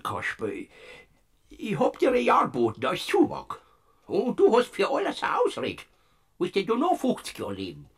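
A creaky, giggling Bavarian Death. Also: male voice, on the high side, wildly swooping pitch, normal speed, heavily acted. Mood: solemn wheedling. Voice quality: raspy, creaky, whispering and squealing by turns. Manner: wheedling, stammering, giggling. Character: Death as a sly, bumbling Bavarian peasant spirit. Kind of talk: classic radio play acting.

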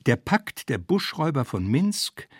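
A man's voice: medium pitch, big pitch swings, measured pace, breathy edge, dry recording, clean studio sound. A man reading a scripted literary narration in formal, essayistic phrasing.

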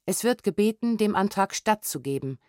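A woman's voice: mid-range voice, animated, moderate pace, darkish tone, no room sound, clean studio sound. A woman narrator giving a formal, factual reading of archival documents, restrained and precisely enunciated. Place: studio.

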